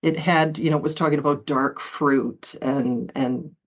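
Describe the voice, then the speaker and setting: Female author guest, deep low voice, chatty with laughs, muffled lo-fi audio. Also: steady tone, measured pace. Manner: chatty, laughs often, hesitant fillers. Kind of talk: conversational interview.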